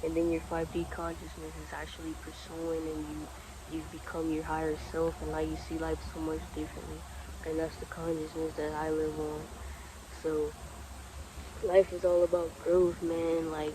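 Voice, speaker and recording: A man's voice: fairly high pitch, steady tone, normal speed, noisy background. A man in his twenties, talking casually and earnestly outdoors by the water about spirituality, laid-back and repetitive. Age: 20s. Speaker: vlogger. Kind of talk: casual spiritual motivational talk.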